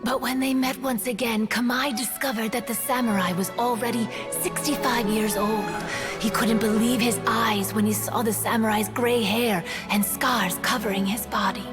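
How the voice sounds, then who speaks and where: Higher female voice, acted fading yokai spirit, world-weary, reflective, breathy endings, game narration, light background music. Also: fairly even intonation, moderate pace, natural voice. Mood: wistful, solemn. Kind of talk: video game storytelling.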